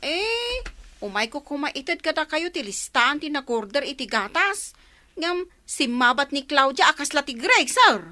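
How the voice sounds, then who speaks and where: A woman's voice: high voice, big pitch swings, a little slow, bright, forceful tone, no echo, exaggerated voice. A woman playing a feisty young ranch worker in a radio drama, with an Ilocano accent. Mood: polite, deferential.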